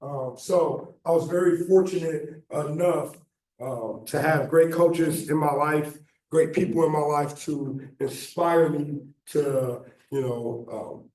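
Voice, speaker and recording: An American man in his thirties with a slightly raspy voice, giving an informal, halting motivational talk as a guest speaker. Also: on the high side, darkish tone. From Illinois, United States. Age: 30s. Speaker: guest speaker, football coach. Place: echoey indoor meeting room. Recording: very echoey room, distant mic.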